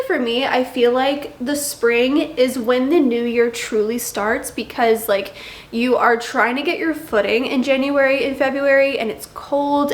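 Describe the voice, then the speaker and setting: A woman's voice: high-pitched, reverberant. A young woman vlogging in a chatty, bubbly way, her voice still a little nasal from congestion. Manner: bubbly, chatty, drawn-out emphasis. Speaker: lifestyle vlogger. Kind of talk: chatty vlog talk to camera.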